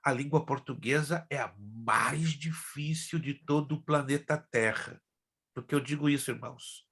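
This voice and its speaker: A middle-aged pastor teaching an online class, his voice gravelly and a little husky. He grew up with Hebrew and Spanish as his first languages. He talks in a chatty way and keeps turning to speak straight to the class. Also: medium pitch, normal speed, fairly bright tone. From Brazil. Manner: chatty, addresses class directly.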